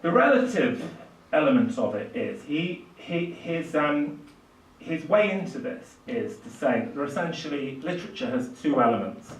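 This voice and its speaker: Older male public lecturer, slightly high pitch, stop-start emphatic delivery, distant mic. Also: very animated, moderate pace. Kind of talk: public lecture on literature, speaking off the cuff. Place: small indoor lecture room at a lectern. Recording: echoey room, distant microphone.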